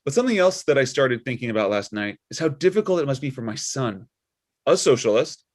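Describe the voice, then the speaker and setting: A man playing an awkward, earnest widowed father and church deacon in a comedy script read, speaking haltingly. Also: medium pitch, big pitch swings. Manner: hesitant, halting.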